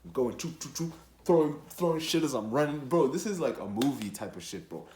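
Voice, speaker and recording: A young man from Rwanda telling a personal story casually, in an animated, slangy and profane way. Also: mid-range voice, a little fast, slight background noise. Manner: animated, slangy, profane, with acted-out quotes and sound effects. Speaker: storytelling vlogger. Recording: echoey, roomy sound.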